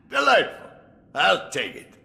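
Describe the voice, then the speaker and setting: A man's voice: high voice, creaky, sharp tone, exaggerated voice. A man playing an aged, sly and grandfatherly sword master in a video game, with a theatrical, musing delivery. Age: older. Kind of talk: dramatic video game dialogue.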